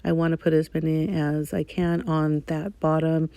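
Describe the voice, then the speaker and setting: A middle-aged woman talking casually over her crafting footage, rambling and chatty with many pauses. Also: low voice, level tone, dark tone, no room sound. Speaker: scrapbooking channel host.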